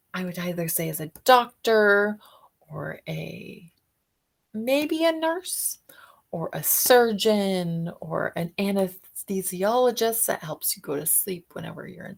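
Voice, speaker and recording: A woman's voice: medium pitch, creaky. A woman talking as a teacher to children, explaining off the cuff, guessing aloud and putting questions to her listeners. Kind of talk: children's read-aloud discussion, unscripted explaining.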